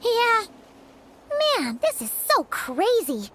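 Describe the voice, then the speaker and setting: A woman voicing a small, excitable, chatty fairy companion in a video game, with a squeaky childlike voice. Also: slow pace, heavy background noise, exaggerated voice. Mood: thoughtful. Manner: exclaiming, speaks of herself in third person. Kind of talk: animated video game voice acting.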